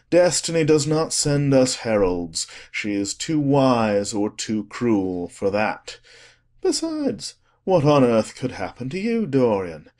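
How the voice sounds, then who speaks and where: A man's voice: medium pitch, a little mellow. A man voicing an unruffled older aristocrat, a cynical wit with a breezy, dismissive manner, in an audiobook. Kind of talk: dramatic audiobook character acting.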